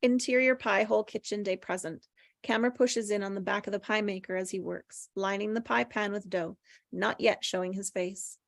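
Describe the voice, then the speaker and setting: A woman's voice: medium pitch, fairly even intonation, moderate pace, vocal fry, no room sound, studio quality. A woman reading screenplay stage directions aloud as scripted narration at a table read.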